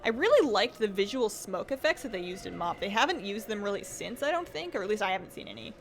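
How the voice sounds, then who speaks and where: A woman's voice: medium pitch, sing-song, fast, a little hiss or hum. A woman game streamer chatting casually and rambling as she plays, her voice a little strained from talking. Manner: chatty, rambling, reads viewer comments aloud. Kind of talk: casual livestream chat while gaming.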